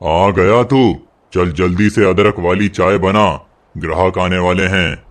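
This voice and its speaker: A man's voice: low-pitched, fairly even intonation, takes it slowly. A man voicing a stingy, harsh tea-shop boss in a cartoon moral story, bossy and sarcastic. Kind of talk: animated moral story acting.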